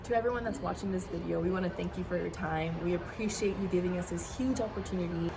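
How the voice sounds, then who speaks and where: A woman from Colombia, a brand co-founder, giving an energetic product pitch to camera in a warehouse. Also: fast talker, vocal fry, noisy background. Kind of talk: upbeat product pitch video.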